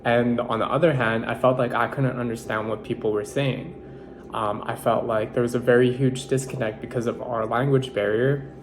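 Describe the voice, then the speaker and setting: A man's voice: medium pitch, steady tone, noisy background. Earnest young man reflecting aloud. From Philippines. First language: Tagalog. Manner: earnest, measured, with self-corrections and fillers. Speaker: nursing student. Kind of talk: reflective personal monologue.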